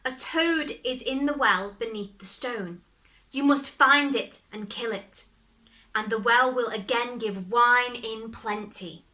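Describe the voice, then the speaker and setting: An earnest young fairy-tale hero, as a woman reader voices him, sure of himself and cheerful. The voice is bright and sits a touch high. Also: takes it slowly, low-quality recording.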